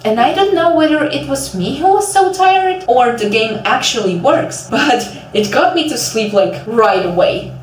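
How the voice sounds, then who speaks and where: High-voiced young woman in an echoey room. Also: expressive, a little slow, slight background noise. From Ukraine. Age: young adult. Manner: self-deprecating, jokey. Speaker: indie game developer and video host. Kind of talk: informal video narration about her own project. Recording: echoey room, distant mic.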